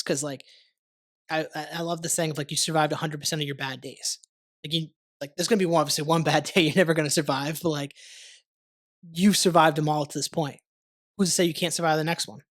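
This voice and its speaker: A young man hosting a podcast, talking casually and rambling with lots of "like" and the odd swear word. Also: fairly high pitch. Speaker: podcast host. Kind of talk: casual conversational interview. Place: warm studio under lights.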